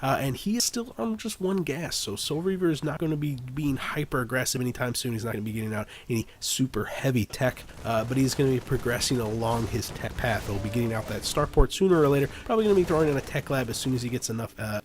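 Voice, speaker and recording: A man's voice: mid-range voice, fast, hardly any pauses, slight background noise. Nasal, stuffed-up man casting a game. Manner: rambling, with frequent "uh" fillers. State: stuffy nose from flu. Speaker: game caster. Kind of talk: casual game commentary.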